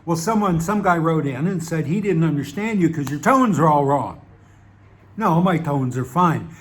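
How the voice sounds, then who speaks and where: A man's voice: fairly high pitch, animated, moderate pace, a little hiss or hum. A man with a Southern American accent, talking to his channel in a wry, digressive way, full of fillers and restarts. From Southern United States. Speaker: channel host. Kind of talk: rambling casual monologue.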